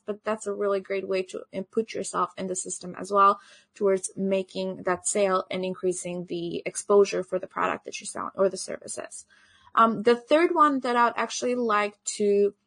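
A woman's voice: mid-range voice, fairly bright tone, dry recording. Woman giving a talk. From Albania. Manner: earnest, repeats "actually" and "you know". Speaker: podcast host presenting at a summit. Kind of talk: online conference presentation.

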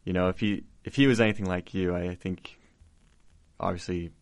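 Young male podcast host, very deep and gravelly, halting and encouraging. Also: steady tone. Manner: warm, supportive, many false starts. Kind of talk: casual conversation.

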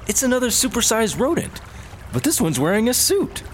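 An actor playing an excitable, sarcastic male sidekick in a sci-fi comedy audio drama. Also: extremely high pitch, brisk, a little hiss or hum. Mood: curious, puzzled. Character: excitable, wisecracking male sidekick in squad duo. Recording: music behind the voice.

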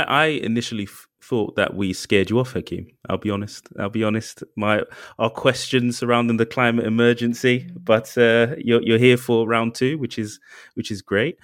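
A man's voice: medium pitch, steady tone, moderate pace, no echo, studio quality. A man co-hosting a show, chatting casually and putting questions to guests. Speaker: podcast co-host. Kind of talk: casual chat.

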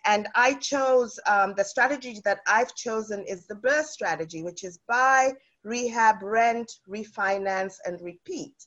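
A woman's voice: on the high side, a little slow, few pauses, fairly bright tone, dull audio. A woman from South Africa, a guest chatting in an interview with lots of fillers and restarts. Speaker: guest real estate investor. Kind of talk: casual interview.

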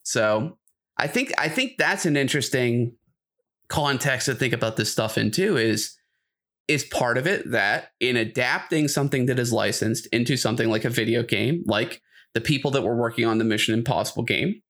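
A man's voice: medium pitch, lively intonation, normal speed, a bit bright. A man co-hosting a podcast, chatting casually and giving opinions in an informal way. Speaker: podcast co-host. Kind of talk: casual discussion chat.

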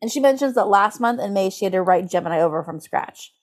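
A woman's voice: medium pitch, lively intonation, fast. A woman co-hosting a podcast in casual comedic chat, talking informally and incredulously, sometimes reading aloud with drama. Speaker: podcast co-host.

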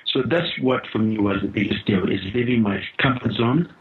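A man from Uganda, a guest in a podcast interview, telling his story earnestly with pauses and restarts over a call line. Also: medium pitch, a little hiss or hum. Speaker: guest, founder of a charity. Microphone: phone or call line. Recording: muffled lo-fi call audio.

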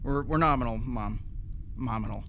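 An actor playing a wry, pedantic, quick-witted male data scientist in an audio drama. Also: medium pitch, bright, forceful tone, slight background noise, close to an everyday voice.

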